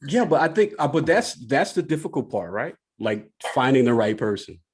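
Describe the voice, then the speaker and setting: A man's voice: medium pitch, very animated, moderate pace, husky. A man hosting a podcast, chatting casually and encouragingly as he interviews, often repeating short affirmations and phrases.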